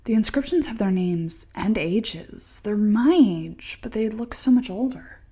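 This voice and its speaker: A woman acting an earnest, anxious young research assistant in an audio play, with a breathy, slightly husky voice. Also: medium pitch, expressive, a little slow, dark tone. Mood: wry, earnest.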